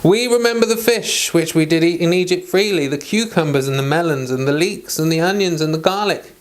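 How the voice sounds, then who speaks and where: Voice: medium pitch, a little fast. A person reading the Bible aloud as host of an informal read-along, mixing plain chatty asides with formal scripture.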